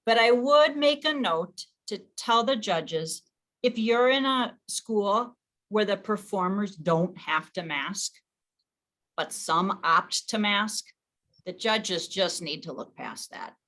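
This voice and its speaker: Woman, meeting leader, bright clear-cut tone, conversational, thinks aloud as she explains. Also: mid-range voice, animated, slow pace. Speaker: league official leading an online meeting. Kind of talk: informal online meeting talk.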